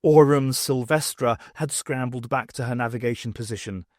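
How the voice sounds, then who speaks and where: A man reading a novel aloud as an audiobook, with expressive, dramatic narration. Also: medium pitch, moderate pace, dry recording, clean studio sound. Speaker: audiobook reader. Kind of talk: scripted fiction narration with acted dialogue.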